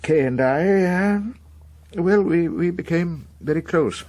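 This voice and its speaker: An actor playing an uneasy, embarrassed middle-aged politician with an upper-class English accent, speaking haltingly in a British radio drama. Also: male voice, a little high, a little mellow. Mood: embarrassed confession. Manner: hesitant, halting.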